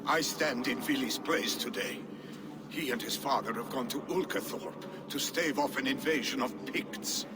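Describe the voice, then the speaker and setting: A man voices a loyal Viking messenger whose formal, measured words carry a long reverb tail. His voice sits at an average pitch. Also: heavy background noise, natural voice. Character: loyal Norse clansman, messenger. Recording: game audio with long reverb.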